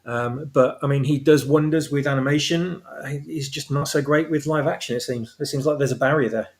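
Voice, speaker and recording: A man in a relaxed discussion, speaking as a guest writer. His voice sits at an ordinary middle pitch. He explains himself haltingly, doubling back over words as he goes. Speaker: guest author of fan fiction audio dramas. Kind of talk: casual discussion.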